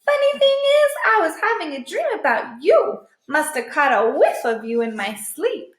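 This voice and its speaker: A woman's voice: high-pitched, very animated, normal speed, roomy sound, voice pushed up. A woman voicing a tough stray female dog from a storybook, blunt, matter-of-fact and resigned. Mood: offhand.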